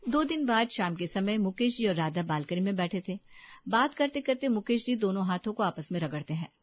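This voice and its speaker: A woman's voice: mid-range voice, animated, a little fast, highs cut off. A woman narrating a scripted Hindi family story as a storyteller.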